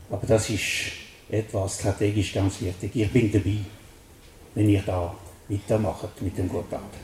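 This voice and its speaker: Swiss french guy speaking german, earnest and halting, dark mellow voice, echoey hall. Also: on the low side, a little hiss or hum. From French-speaking Switzerland. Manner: earnest, halting with many fillers. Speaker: guest speaker.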